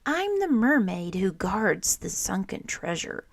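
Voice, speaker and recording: A playful young beaver girl from a storybook, speaking softly and slowly, as a woman reading aloud puts her on. The voice has a gravelly texture and a dry, close sound. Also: medium pitch, very animated, no room sound, exaggerated voice.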